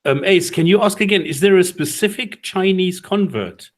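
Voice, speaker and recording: A man's voice: high-pitched, moderate pace. A man on a discussion panel, directly putting viewer questions to a guest.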